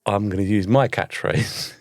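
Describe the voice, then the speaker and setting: A British man with a fairly low voice talks casually as a podcast co-host, recorded close and dry. He rambles in a bemused way, often reaching for the right word. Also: a little slow, no room sound. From United Kingdom.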